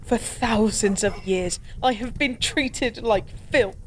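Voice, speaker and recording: A woman's voice: fairly high pitch, slight background noise. A woman playing an ancient fallen goddess, drunk, weary and resigned, in an intimate, melancholy audio roleplay monologue. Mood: mournful. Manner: slow, brooding, melancholy drunken rambling.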